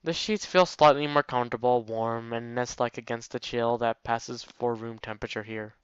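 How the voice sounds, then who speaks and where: Clear-voiced man, dry close recording. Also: mid-range voice, steady tone, moderate pace, no room sound, muffled recording. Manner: wry, mocking asides to the game. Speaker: let's play host. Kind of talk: reading a visual novel aloud with joking commentary.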